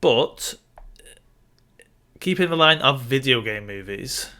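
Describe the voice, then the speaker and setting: A man speaks in a bright, forward voice at a medium pitch. He sounds like a podcast co-host in a casual discussion of films. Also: animated, takes it slowly.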